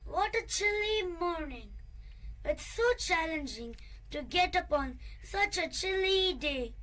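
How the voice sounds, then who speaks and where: A woman's voice: very high-pitched, full character voice, rough recording. A woman voicing a cheeky, childish male storybook creature who exclaims about everything. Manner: excited, exclaiming, drawn-out.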